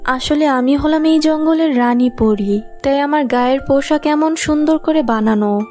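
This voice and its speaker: Gracious high-voiced fairy queen played by a woman. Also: moderate pace, a little mellow, faint music behind, close to an everyday voice. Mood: grateful, gracious. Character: kind fairy queen of the forest, graceful and grateful. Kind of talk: animated fairy tale voice acting.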